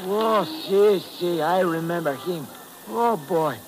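An actor playing an excitable Cuban cab driver with a thick Spanish accent in a 1950s radio drama. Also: male voice, high voice, takes it slowly. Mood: eager, animated. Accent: thick Cuban Spanish-accented English. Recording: crackly, hissy old radio recording.

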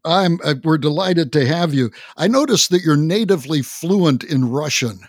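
A man hosts a podcast interview in a measured, questioning manner. His voice sits a little high for a man. The sound is close and dry. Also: expressive, dry recording. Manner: measured, asks follow-up questions. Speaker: podcast host.

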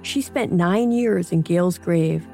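A woman's voice: on the low side, slow, gravelly, dark tone. A woman hosting a podcast, reading a scripted promo narration. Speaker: podcast host. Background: music bed.

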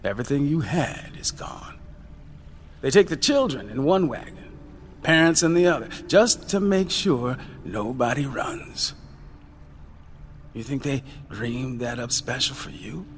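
Guy doing grim old uncle voice, low and hushed, slow. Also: very animated, slight background noise. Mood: menacing. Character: weary grim old uncle, gold miner.

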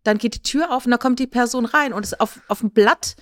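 A woman's voice: fairly high pitch, fairly quick, no room sound, clean studio sound. A woman discussing casually as a podcast co-host, making her points emphatically with rhetorical questions.

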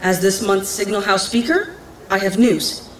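A woman's voice: medium pitch, expressive, unhurried, lightly acted. An earnest, resolute young woman messenger acted by a woman speaks formally to the public. The audio drama recording is echoey, with faint noise underneath. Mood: earnest, urgent. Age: young adult. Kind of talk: scripted audio drama acting.

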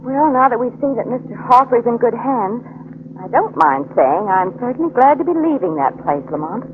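A woman's voice: a little high, sing-song, fairly quick. A woman playing a plucky young lady in a melodramatic 1940s radio thriller. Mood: relieved, wry. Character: plucky young lady, the hero's companion in peril. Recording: noisy, tinny 1940s radio recording.

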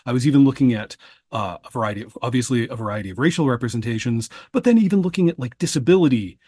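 A man's voice: medium pitch, expressive, warm tone, breathy edge. A man, co-hosting and producing a book podcast, talking casually with frequent restarts and fillers. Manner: halting, many restarts and fillers.

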